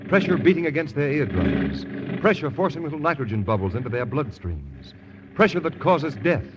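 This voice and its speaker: Dramatic old-time radio announcer, animated man. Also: on the high side, moderate pace. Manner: dramatic, emphatic. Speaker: announcer, narrator. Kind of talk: 1940s radio scripted narration. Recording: muffled, noisy old radio recording.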